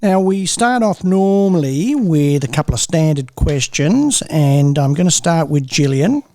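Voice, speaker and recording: A man's voice: on the high side, big pitch swings, measured pace, a little mellow, no room sound. A man hosting a podcast, chatting casually and encouraging his guests. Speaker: podcast host. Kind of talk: casual interview.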